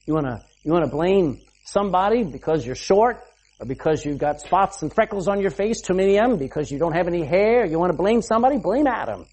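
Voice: medium pitch, animated, a little fast, darkish tone. A preacher giving an emphatic sermon, asking the listeners rhetorical questions and punctuating points with "amen".

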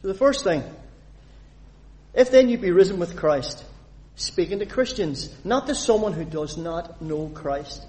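A man from Northern Ireland preaching a sermon, putting questions to the congregation and reading scripture aloud in an animated way. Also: sits high, a little slow. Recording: long hall reverb.

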